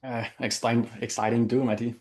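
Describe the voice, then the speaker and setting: A man from Quebec with a Quebec French accent, a native French speaker, answering interview questions in English and often pausing to search for words. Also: mid-range voice, flat delivery, a little mellow. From Quebec, Canada. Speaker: interview guest. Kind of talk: casual interview.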